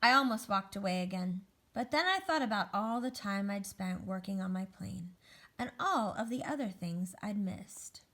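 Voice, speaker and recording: A woman's voice: medium pitch, lively intonation, moderate pace, fairly bright tone. A woman voicing an anxious, wistful egg who narrates its own story earnestly in a children's picture book read-aloud. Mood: nervous, resolute. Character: anxious, wistful egg recovering from a great fall.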